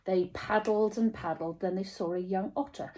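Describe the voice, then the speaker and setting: A woman's voice: mid-range voice, fairly even intonation, normal speed, warm tone, a little hiss or hum. A woman reading a children's storybook aloud expressively, like a teacher telling a story to small children.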